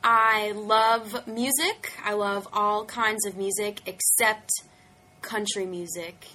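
A woman's voice: medium pitch, fairly even intonation, takes it slowly, pushed and bright. A friendly woman in her twenties from New Orleans, introducing herself. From New Orleans, USA. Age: 20s. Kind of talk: self-introduction.

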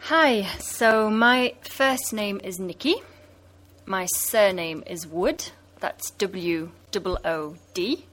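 Woman, slow and plain, mid voice, self intro. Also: medium pitch, a bit bright. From Liverpool, UK.

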